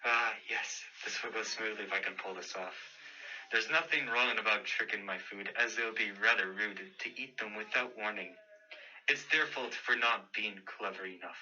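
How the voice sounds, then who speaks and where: A man's voice: lowish voice, moderate pace, sharp tone, distant mic, muffled recording. A man playing a sly, gluttonous, coaxing wolf with mock-polite sweetness in a fairy-tale radio play. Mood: sly scheming.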